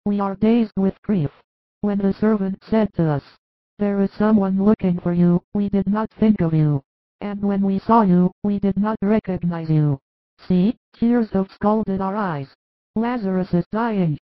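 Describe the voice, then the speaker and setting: A tearful grieving young sister pleads in a raised, strained voice, pitched well above a man's usual range, as a male storyteller puts her on. The recording is dry and dull, like an old lo-fi audiobook. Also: lively intonation, slow, dry recording. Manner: emotional, pleading.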